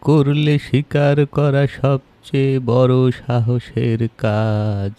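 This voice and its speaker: A man playing a wise, patient grandfather in a children's cartoon, speaking gently and deliberately as he teaches. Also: mid-range voice, clean tone, dry recording, lightly acted. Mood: gentle, wise.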